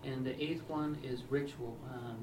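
A man's voice: mid-range voice, steady tone, measured pace. Man talking hesitantly in an echoey room. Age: 30s. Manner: hesitant, many fillers. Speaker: interviewer and camera operator. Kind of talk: casual interview setup. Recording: echoey room, distant noisy mic.

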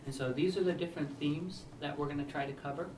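A man in his thirties explains an interview project with halting, filler-heavy speech. He sounds distant from the mic in a roomy space, with clear background noise. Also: on the high side, steady tone. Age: 30s. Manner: hesitant, many fillers. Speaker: interviewer and camera operator. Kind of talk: casual interview setup. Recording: echoey room, distant noisy mic.

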